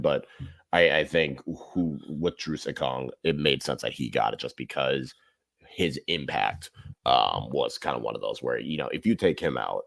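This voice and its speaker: A man co-hosting a podcast, giving calm, measured football analysis in casual chat. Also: extremely low pitch, gravelly, no room sound, studio quality. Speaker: podcast co-host.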